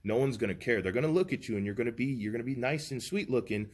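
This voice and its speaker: A man's voice: medium pitch, fast talker, darkish tone. A man in his early thirties talking earnestly and reflectively as a podcast co-host, giving motivational advice. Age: 30s. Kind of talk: casual podcast chat.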